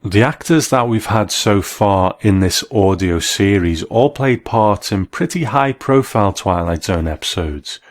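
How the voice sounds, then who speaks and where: A man hosting a show, delivering measured, scripted commentary in the manner of an appreciative reviewer. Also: lowish voice, lively intonation. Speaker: host. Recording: faint music under the voice. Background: soft background music.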